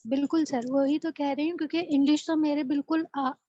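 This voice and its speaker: Woman, Pakistani Urdu accent, high voice, earnest student, muffled call audio. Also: steady tone, fairly quick, warm tone, dry recording. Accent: Pakistani Urdu-speaking. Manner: earnest, apologetic, talks at length about her struggles. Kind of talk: casual conversation with tutor.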